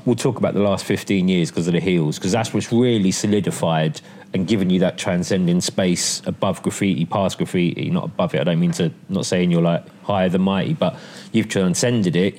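A man's voice: sits low, darkish tone. A man hosting a podcast, asking questions casually and chiming in eagerly. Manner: encouraging, eager interjections. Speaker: podcast host. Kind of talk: casual interview.

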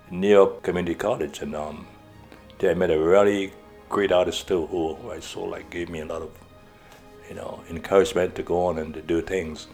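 An older man from Trinidad, talking as an interview guest in a halting way, repeating words and laughing often. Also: deep voice, darkish tone. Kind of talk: casual interview talk.

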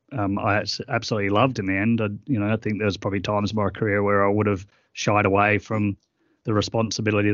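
A middle-aged man guesting on an interview, talking earnestly and haltingly with many fillers. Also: lowish voice, dry recording, lo-fi sound. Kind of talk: reflective interview conversation.

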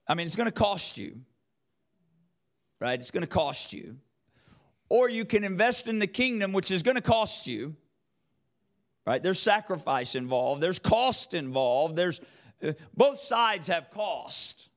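A man's voice: sits high, very animated, takes it slowly, long pauses. A man preaching a sermon as a church elder, speaking emphatically with repeated phrases and rhetorical questions. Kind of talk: sermon with scripture quotation.

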